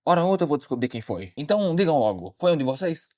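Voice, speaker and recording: Rapid-fire, exasperated Brazilian detective played by a man. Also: on the high side, sing-song, a little mellow. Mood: insistent. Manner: questioning, exclamatory. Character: impatient alligator detective, exasperated and blunt. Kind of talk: animated murder-mystery dialogue.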